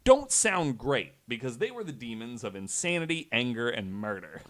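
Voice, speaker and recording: A man's voice: medium pitch, sing-song, moderate pace, a bit bright. A man hosting a podcast, telling a true story in a conversational way with wry, incredulous asides. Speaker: podcast host.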